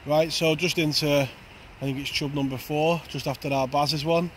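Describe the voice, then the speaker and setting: Flat-voiced angler by a river. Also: male voice, on the high side, normal speed, slightly rough. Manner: plain, steady running commentary. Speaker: angling vlogger. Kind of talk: casual fishing commentary. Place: outdoors on a riverbank. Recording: faint outdoor noise.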